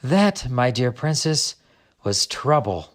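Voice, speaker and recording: A man's voice: mid-range voice, sing-song, takes it slowly. A man puts on a keen-eared Scottish storybook creature. He is courteous and folksy, with old-fashioned politeness in his Scottish accent. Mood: grave.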